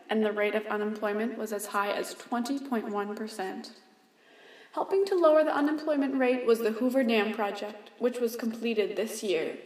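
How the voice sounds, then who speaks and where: A young woman presents scripted radio news in a fairly high voice. The recording is roomy, with a clear echo around her words. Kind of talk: scripted news reading for a mock 1930s radio broadcast.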